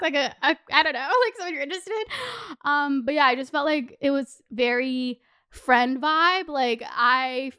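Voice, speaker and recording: A woman, telling a candid personal story in a chatty, animated, sweary way full of "like". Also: high-pitched, no echo, studio quality. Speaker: podcast host.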